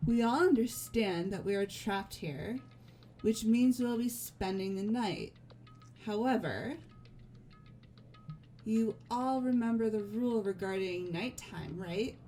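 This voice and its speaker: A woman voicing a game character in a fan dub, calm and composed. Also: mid-range voice. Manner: calm, measured, composed delivery. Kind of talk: fan voice acting of a game script. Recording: faint music behind. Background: faint game music.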